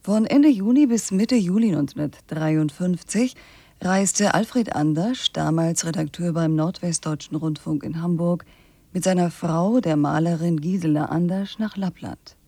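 A husky, gravelly presenter's voice, dark and dry in a close studio sound. The scripted radio introduction is delivered in a measured, sober way. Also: mid-range voice, dry recording.

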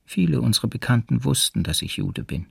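A man's voice: medium pitch, big pitch swings, brisk, vocal fry, fairly bright tone, slightly rough, no echo, studio quality, exaggerated voice. An actor playing a troubled man in hiding in a documentary radio play, reading his memoir soberly and reflectively.

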